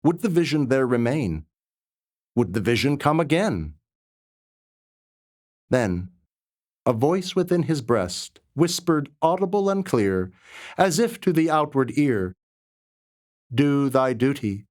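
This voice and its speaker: Slow, solemn man reciting poetry. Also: medium pitch, big pitch swings, darkish tone. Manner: solemn, reverent, deliberate phrasing with pauses. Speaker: poetry reader. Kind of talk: dramatic, measured poetry recitation.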